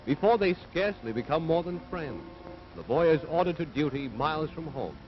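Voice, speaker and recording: A man's voice: a little high, lively intonation, a little fast, faint music behind. An American man delivering scripted 1940s propaganda film narration in an earnest, authoritative, moralizing manner. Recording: dull vintage 1940s film soundtrack.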